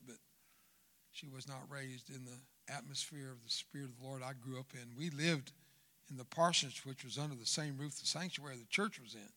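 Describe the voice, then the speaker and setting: A man in his seventies preaches to a church crowd in a folksy, joking way, swinging between loud asides and quieter stretches. His first language was Spanish. He is at a middle pitch for a man. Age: 70s. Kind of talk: sermon. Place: church sanctuary.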